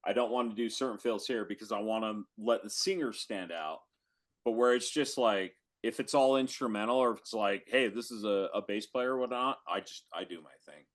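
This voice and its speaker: A man's voice: lowish voice, fairly quick. A middle-aged man, a drummer guesting on a podcast, talking casually in an informal, slangy way. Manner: informal, says bro and like often. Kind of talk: casual chat.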